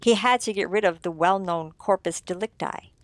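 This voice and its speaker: A woman's voice: mid-range voice, no echo, natural voice. Theatrical, condescending sleuth played by a woman, close dry sound. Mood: smugly accusing. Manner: theatrical, condescending, deliberate explaining. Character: shrewd, theatrical writer-sleuth unmasking a murderer. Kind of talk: old-time radio mystery acting.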